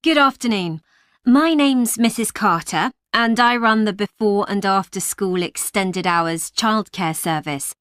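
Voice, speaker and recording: A woman speaking as a childcare manager, giving a polite, clear talk to parents. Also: medium pitch, measured pace, a bit bright, no echo, studio quality. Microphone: studio microphone, dry.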